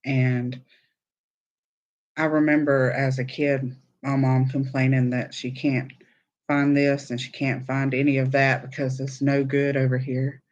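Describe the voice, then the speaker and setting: An easygoing man chats with his viewers in a relaxed, conversational way. His voice sits at an ordinary middle pitch. The stream audio is slightly dull and muffled. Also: a little slow. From born in Texas, raised in Alabama. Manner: chatty and easygoing, laughs easily. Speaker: crochet live-stream host. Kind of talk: casual live-stream chat, reading viewer comments.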